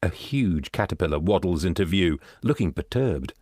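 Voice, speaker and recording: A man's voice: low voice, lively intonation, normal speed, darkish tone, no room sound, clean studio sound. A man giving scripted narration, reading out stage directions.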